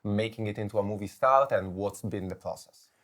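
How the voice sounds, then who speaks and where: A man working as a reporter, asking a film director polite, measured interview questions. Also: lowish voice.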